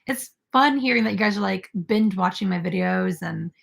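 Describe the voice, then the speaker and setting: A woman's voice: mid-range voice, moderate pace, creaky. A young woman hosting a casual crochet live stream, chatting with viewers and filled with "like" and "um". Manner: chatty, filler-heavy, reads viewer comments aloud.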